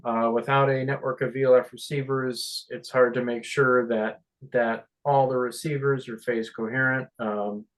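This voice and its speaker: Guy on a group call, mid-pitched, halting, kinda thinking out loud as he goes. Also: level tone, low-quality recording. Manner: hesitant, halting, thinking aloud. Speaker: meeting participant, radio monitoring volunteer. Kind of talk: unscripted discussion on a group conference call.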